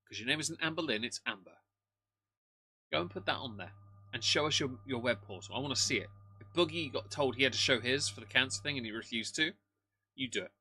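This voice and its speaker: A man with a strikingly bright, sharp voice at an ordinary pitch. He delivers live-stream reaction commentary as a co-host, bluntly and sarcastically, with open scorn and a taste for crude jokes. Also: animated, brisk, a little hiss or hum, rough recording.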